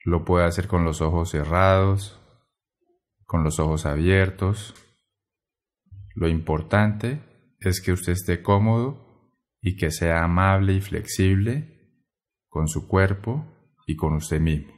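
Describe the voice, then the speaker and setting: A man guiding a meditation in a gentle, measured way, pausing between short phrases. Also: low voice. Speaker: meditation guide. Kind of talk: guided meditation narration.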